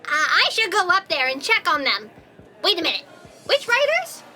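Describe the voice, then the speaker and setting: A woman's voice: very high voice, huge pitch swings, moderate pace, sharp tone, exaggerated voice. A woman playing a frantic, bossy character with an urgent, exasperated manner in a comic sci-fi audio drama. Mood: worried, indignant. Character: frantic, bossy, self-important woman fretting over writers.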